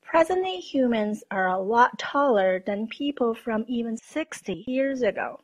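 A woman narrates a scripted documentary with a fairly high voice. The tone is slightly husky. She explains in a measured way, as a presenter. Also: animated.

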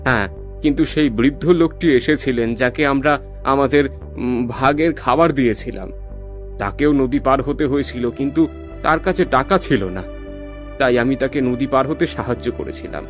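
A man's voice: mid-range voice, normal speed, faint music behind, natural voice. A man playing a poor, honest boatman and father in a cartoon fairy tale, speaking in an earnest, plaintive way. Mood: sad, plaintive.

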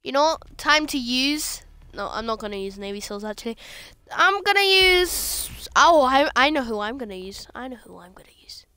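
Bright high-voiced jokey woman gamer. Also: normal speed, hardly any pauses, smooth, no echo. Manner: chatty, jokey, shouts excitedly. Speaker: gameplay streamer. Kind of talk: casual gameplay chat.

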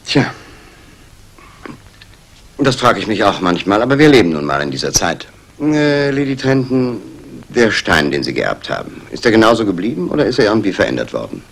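An actor playing a dry, wry police inspector who probes politely in a 1960s German radio drama. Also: male voice, on the low side, very animated, moderate pace, creaky, a bit bright, slight background noise, exaggerated voice. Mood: probing.

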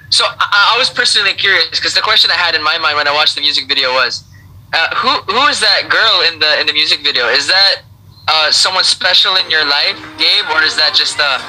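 A man's voice: a little high, bright, forceful tone, slight background noise, low-quality recording. A man co-hosting an online interview, asking long rambling questions with many restarts. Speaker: co-host. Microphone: video call.

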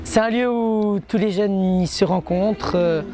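A man's voice: sits high, a little slow. Earnest teen boy, crowd chatter behind. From Morocco. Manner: earnest, repeats words. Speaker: student. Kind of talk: interview answer. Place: courtyard at night. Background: people chatting.

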